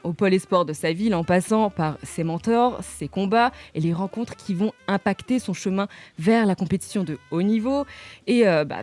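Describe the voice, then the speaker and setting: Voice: medium pitch, a little mellow. Chroniqueur radio enjoué et blagueur. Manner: playful, jokey asides to the audience. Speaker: radio show columnist presenting manga. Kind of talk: casual radio chat with prepared review. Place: radio studio.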